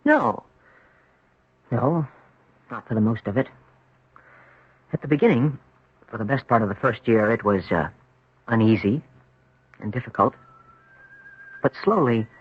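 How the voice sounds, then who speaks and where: An American actor playing a tormented married man confessing a haunted past in a brooding, halting 1970s radio drama style. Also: mid-range voice, natural voice. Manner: brooding, halting, rhetorical questioning. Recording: muffled vintage radio broadcast.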